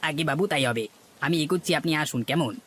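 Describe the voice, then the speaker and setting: A man's voice: a little high, a little fast, background noise, close to an everyday voice. A man voicing a deferential market stall helper in a cartoon, hawking fish and meat politely. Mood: obedient. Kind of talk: Bengali cartoon voice acting.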